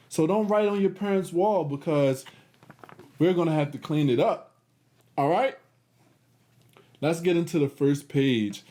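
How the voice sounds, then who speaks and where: Animated, unhurried man reading to children. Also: a little high. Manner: encouraging, chatty asides between read lines. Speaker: children's read-aloud host. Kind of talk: animated read-aloud for young children.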